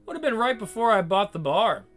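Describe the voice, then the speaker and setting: A man voicing a gruff, proud older bar owner who talks loud and blunt, reading a visual novel. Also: high voice, animated, a little fast, soft background music, pitched above the performer's own voice.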